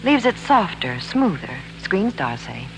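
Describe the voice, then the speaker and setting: A woman's voice: on the low side, big pitch swings, unhurried, soft background music. A woman reporter in scripted 1940s radio banter and advertising, polished and enthusiastic. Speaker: Hollywood reporter and product pitchwoman. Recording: noisy, humming 1940s radio broadcast.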